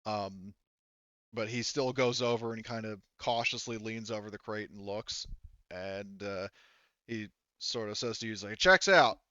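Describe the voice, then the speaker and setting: A man's voice: fairly low pitch, fairly even intonation, normal speed, bright, forceful tone, no room sound, highs cut off. A man working as game master, improvising tabletop narration piece by piece, with bits of character dialogue.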